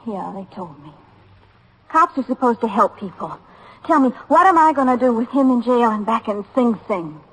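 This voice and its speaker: High-voiced, distraught wife. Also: female voice, lively intonation, normal speed, husky. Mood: accusing. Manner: plaintive, complaining. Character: distraught, accusing wife of a jailed man. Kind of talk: 1950s radio drama acting. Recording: noisy vintage radio recording.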